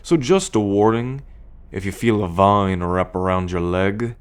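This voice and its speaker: Man playing a slow-talking, menacing alien captor. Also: on the low side, clean tone, natural voice. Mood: dry warning. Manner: slow, deliberate, condescending, teasing. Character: menacing, sardonic male alien space captor, coolly possessive. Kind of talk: ASMR roleplay monologue addressed to the listener.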